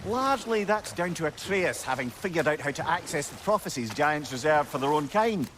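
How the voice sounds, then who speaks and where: An actor playing a wordy, wry old sage and loyal companion in a video game. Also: male voice, on the high side, expressive, brisk, fairly bright tone, slight background noise. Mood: informative, genial. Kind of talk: video game character acting.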